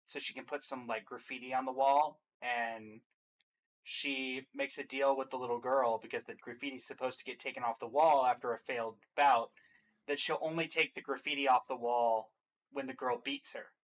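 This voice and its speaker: A man co-hosting a reaction video, talking casually and retelling scenes in an animated, quoting way as he ranks game characters. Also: medium pitch, normal speed. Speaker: co-host of a reaction channel.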